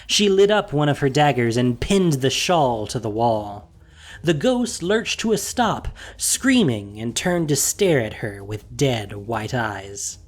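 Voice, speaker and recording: Slow, expressive male story narrator. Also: medium pitch. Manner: expressive storytelling, dramatic pauses. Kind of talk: scripted narration of a fantasy audio story.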